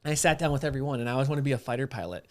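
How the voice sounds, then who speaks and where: A man's voice: medium pitch, very fast. A man hosting a podcast, telling stories casually in informal, slangy speech. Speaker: podcast host. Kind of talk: casual chat, animated storytelling.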